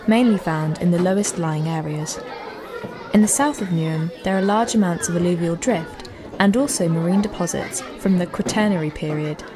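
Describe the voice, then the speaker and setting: A woman with a fairly low voice narrates an educational audio walk from a script. Music and the sound of people play behind her as she guides the listener.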